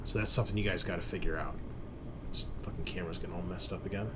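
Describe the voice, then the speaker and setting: A man's voice: low voice, steady tone, very fast, heavy background noise. A man narrating a tabletop sci-fi horror game as game master, in a casual, sweary way.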